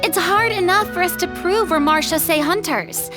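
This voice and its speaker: A woman's voice: very high-pitched, normal speed. A woman voicing a polite, timid young woman in a fantasy game, earnest and a little hesitant. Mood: worried. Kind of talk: video game character acting. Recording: background music behind voice.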